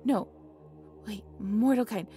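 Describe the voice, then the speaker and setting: A woman playing an obsessive, devoted female cult leader in a roleplay audio drama, with a breathy, reverent, theatrical delivery. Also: medium pitch, takes it slowly, dark tone, soft background music, lightly acted. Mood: urgent, commanding. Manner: breathy, reverent, theatrical incantation with nervous stammers.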